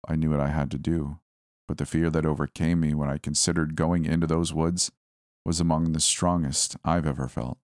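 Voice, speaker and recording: A man reading a scripted first-person horror story in a measured, suspenseful way. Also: sits low, a little mellow, dry recording, clean studio sound.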